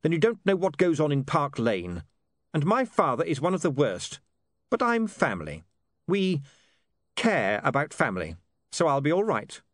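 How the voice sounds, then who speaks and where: A man's voice: on the high side, very animated, normal speed, no echo, clean studio sound, pitched above the performer's own voice. A man voicing an earnest, bitter young man of privileged birth in an audiobook, with a clipped, emphatic way of talking. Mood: agitated, bitter.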